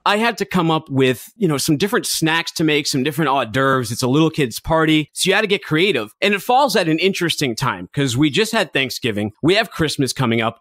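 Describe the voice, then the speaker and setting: A man in his thirties co-hosting a podcast in casual chat, upbeat and quick to laugh, a bit raspy from a cold. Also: on the high side, a bit bright, no room sound, clean studio sound. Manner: chatty, upbeat, laughs often. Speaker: podcast co-host.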